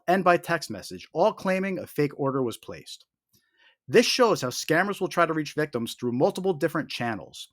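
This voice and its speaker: Male explainer host, slightly high voice, lively intonation, emphatic and deliberate scripted delivery. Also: dry recording, clean studio sound. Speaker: host of a scam awareness video.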